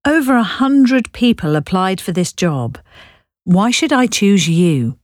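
A woman playing a polite, brisk job interviewer who asks probing questions. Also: medium pitch, very animated, dry recording, studio quality. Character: businesslike woman interviewer, patient. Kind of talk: scripted listening dialogue.

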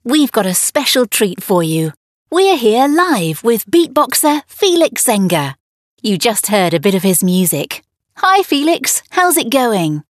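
Woman doing a cheerful kids' radio host, fairly high, very lively pitch, upbeat and clearly enunciated. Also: a little slow, no echo, studio quality. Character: cheerful female host of a children's internet radio show. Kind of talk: scripted textbook dialogue.

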